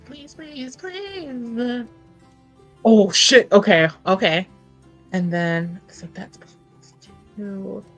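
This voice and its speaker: A woman's voice: mid-range voice, very slow, long pauses, fairly bright tone, faint music behind. A woman playing a tabletop roleplaying game, chatting in an informal, sweary way with the game master. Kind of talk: casual game-table chat.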